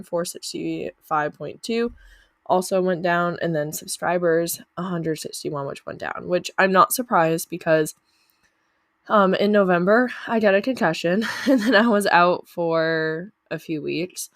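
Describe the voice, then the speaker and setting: A woman's voice: fairly low pitch, no room sound, clean studio sound. A young woman talking casually through her channel earnings, chatty with lots of fillers, her voice a little off from a lingering cough. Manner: chatty, uses many fillers like "like" and "um". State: lingering cough after illness. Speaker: YouTuber reviewing channel earnings. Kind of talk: casual screen-share walkthrough.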